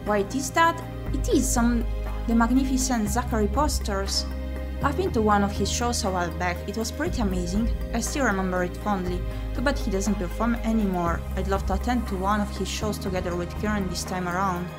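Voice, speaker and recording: A woman streamer reads a visual novel aloud in a mid-pitched voice, with an expressive, theatrical delivery. She mixes narration with commentary while music plays clearly behind her. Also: brisk. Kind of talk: reading game text aloud with commentary. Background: game music.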